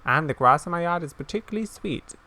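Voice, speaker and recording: A man reading aloud the part of a kindly old village woman in a fantasy game, gently and solemnly, with halting delivery. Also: fairly high pitch, lively intonation, voice pushed up. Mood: gentle, caring.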